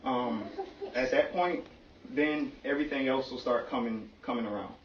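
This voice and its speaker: A man with a mid-pitched voice talks earnestly and haltingly, explaining something serious in simple words. He is recorded at a distance in an echoey room, with a slightly muffled sound. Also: sing-song, creaky, a little hiss or hum. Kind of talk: serious personal conversation.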